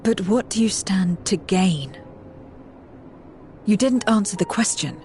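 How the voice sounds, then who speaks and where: A woman acting a solemn, detached questioner in a video game cutscene, speaking in a measured, formal, probing way. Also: mid-range voice, expressive, warm tone, soft background music. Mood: probing, puzzled.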